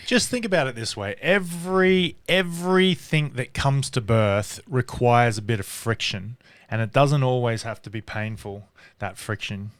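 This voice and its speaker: Guy on a podcast, calm, jokey side comments, mid voice, dry close mic. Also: medium pitch, measured pace, clear, resonant tone, no echo. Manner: joking, calm, reflective asides. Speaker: podcast co-host. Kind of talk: casual conversation.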